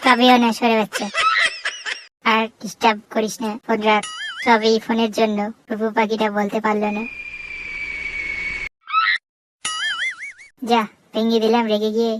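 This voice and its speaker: A woman's voice: fairly high pitch, flat delivery, fairly quick, close to an everyday voice. A woman voicing a hot-headed, foul-mouthed male would-be hermit, brash and scolding, in a comic cartoon. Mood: irritated. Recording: very noisy cartoon soundtrack.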